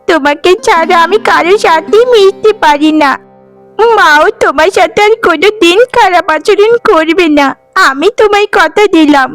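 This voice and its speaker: A very high, childlike cartoon voice of a little boy, played by a woman. He is clingy and affectionate, pleading with those he loves. Music plays behind him. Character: sickly, clingy little boy pining for his aunt. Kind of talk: Bengali cartoon voice acting.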